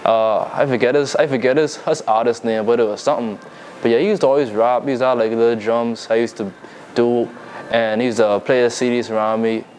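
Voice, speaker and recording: A man's voice: medium pitch, steady tone, fairly quick, darkish tone. Young bahamian guy chatting loose and easy, lots of likes and restarts, bit of hiss behind. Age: young adult. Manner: laid-back, loose, lots of fillers and restarts. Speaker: musician guest. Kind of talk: casual interview chat.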